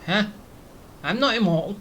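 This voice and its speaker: A woman's voice: medium pitch, animated, moderate pace, fairly bright tone, a little hiss or hum. Chatty woman doing casual gameplay commentary. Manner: chatty, thinking aloud. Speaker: video game let's player. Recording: faint game music behind. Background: faint game music.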